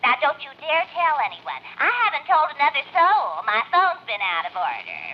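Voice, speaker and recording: Gushing high-voiced gossipy housewife. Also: female voice, huge pitch swings, normal speed, full character voice. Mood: gleefully scandalized. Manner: confiding, effusive. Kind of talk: 1940s radio sitcom acting. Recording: dull, noisy old radio recording.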